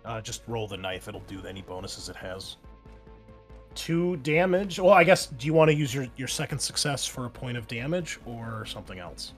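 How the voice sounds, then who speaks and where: A man's voice: medium pitch, lively intonation, moderate pace, faint music behind. A man acting as game master of a tabletop roleplaying game, narrating scenes and explaining the rules conversationally.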